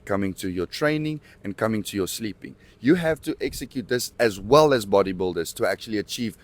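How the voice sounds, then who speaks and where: Steady young man, lowish voice. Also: fairly quick. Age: 20s. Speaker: bodybuilding champion. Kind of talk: casual interview answers.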